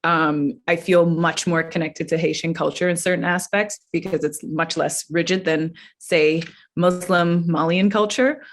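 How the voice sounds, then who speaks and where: A woman's voice: fairly low pitch, steady tone, measured pace, slight background noise. A woman from Toronto, speaking reflectively in an interview. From Toronto, Canada. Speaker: actor, cast member. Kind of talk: casual interview chat.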